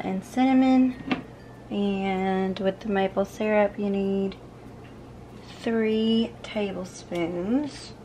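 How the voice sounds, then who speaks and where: Chatty young woman cooking at home. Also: mid-range voice. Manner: chatty, upbeat, talks while cooking. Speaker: cooking vlogger. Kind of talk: casual cooking video. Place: home kitchen, indoors.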